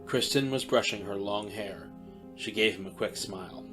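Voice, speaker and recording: A male narrator with a low voice reads scripted narration in a plain, matter-of-fact way. Clear guitar music plays under him. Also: normal speed, fairly bright tone.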